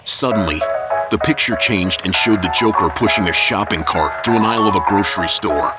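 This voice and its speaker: Brief: steady male storyteller, low voice, over a score. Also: a little fast. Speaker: narrator. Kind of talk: scripted audio-drama narration. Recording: music underneath.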